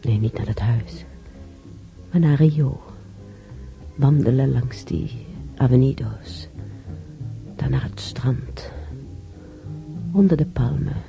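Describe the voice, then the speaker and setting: An actress playing an elderly bedridden widow in a radio drama, musing haltingly and wistfully over her memories. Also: extremely low pitch, vocal fry, dull audio, faint music behind, exaggerated voice. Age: older. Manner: halting, musing, with sighs and whispers.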